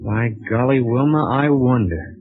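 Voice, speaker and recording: Male actor as eager American space hero, mid-pitched, folksy, narrow noisy vintage radio recording. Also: measured pace, natural voice. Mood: excited, urgent. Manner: punchy, folksy exclamations. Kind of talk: 1930s radio serial acting. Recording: tinny 1930s radio recording, faint noise.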